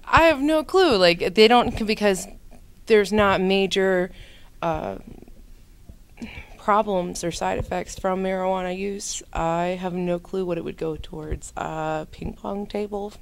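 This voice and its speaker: A young woman with a notably low voice answers questions casually. She talks like a student in an informal interview, into a handheld microphone in an indoor room. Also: steady tone, slow pace, slight background noise. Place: indoor room with brick wall. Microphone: handheld microphone held out by interviewer.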